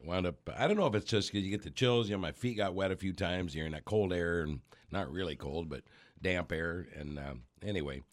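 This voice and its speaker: Radio host guy with a cold, very low dry voice, talks in a meandering way. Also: no room sound. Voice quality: rambling, halting with fillers. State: has a cold. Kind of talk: casual radio chat. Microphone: studio microphone.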